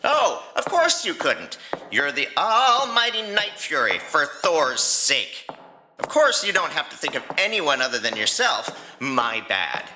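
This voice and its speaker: A man as young Viking dragon rider, wildly swooping pitch, piercing bright tone, sarcastic, exasperated, exaggerated cartoon ranting. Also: sing-song, a little slow, a little hiss or hum, exaggerated voice. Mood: angry, sarcastic. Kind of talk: animated fan fiction audio drama.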